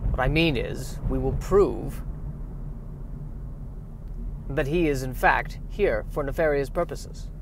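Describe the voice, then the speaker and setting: Casting: male actor for naive, self-assured gentleman scientist, pompous and formal, radio comedy, slight noise. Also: medium pitch, slow, creaky, pauses often. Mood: confident. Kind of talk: comedic sci-fi radio play acting. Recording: noisy recording.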